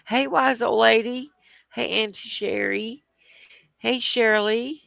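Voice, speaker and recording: A woman's voice: fairly high pitch, fairly even intonation, takes it slowly, vocal fry, no room sound. A woman, host of a casual livestream, talking in a chatty, rambling way with a stuffy, congested voice. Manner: chatty, rambling, greets viewers, soft laughs.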